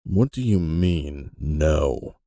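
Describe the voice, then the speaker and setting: A man playing an aging, hardened and defiant keeper detective with a gravelly voice in a fantasy audio drama, grim and intense. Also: rumbling low, animated, extremely slow, dark tone, dry recording, clean studio sound, heavily acted. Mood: indignant.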